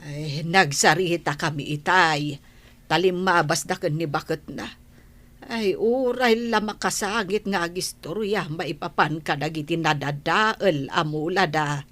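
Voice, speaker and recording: A woman plays a concerned older village mother in an Ilocano radio drama. Her lowish voice moves very slowly, with an Ilocano accent and a sighing manner. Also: a bit bright. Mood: sympathetic.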